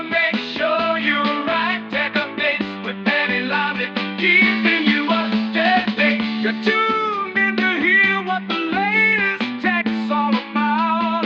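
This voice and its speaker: Woman as high-voiced radio jingle singer, lo-fi. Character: female jingle singer for wartime radio segment. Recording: lo-fi, loud guitar music over voice.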